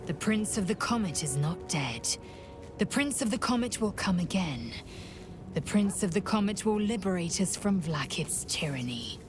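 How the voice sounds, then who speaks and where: Fierce alien warrior woman from a video game, lowish voice, slow and imperious, music behind. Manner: imperious, clipped, solemn delivery. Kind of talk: dramatic video game acting, reciting a legend.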